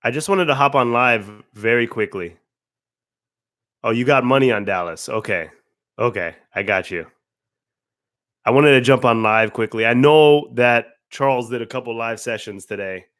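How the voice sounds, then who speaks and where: A man with a raspy voice from a cold, hosting a casual livestream, greeting viewers by name and restarting words as he talks. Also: mid-range voice, normal speed, fairly bright tone, dry recording, studio quality. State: hoarse from a cold. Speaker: livestream host.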